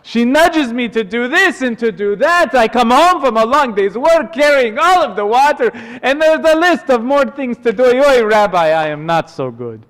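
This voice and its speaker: Man playing a weary old water carrier, high-pitched. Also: lively intonation, a bit bright. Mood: grumbling, aggrieved. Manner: lamenting, drawn-out exclamations. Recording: long hall reverb.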